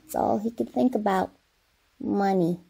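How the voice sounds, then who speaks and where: A woman's voice: medium pitch, measured pace, gravelly, warm tone, slightly rough, heavily acted, rough recording. A woman playing a feisty, blunt great-grandmother of ninety-six in an old-time radio comedy. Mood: chatty, sly. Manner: blunt, folksy.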